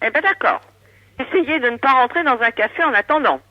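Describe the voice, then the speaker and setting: A patient, polite woman working for a helpline, gently reproving in a phone conversation heard over a dull line. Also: sits high, animated, brisk, no room sound. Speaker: alcoholism prevention helpline worker. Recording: dull, dry telephone line.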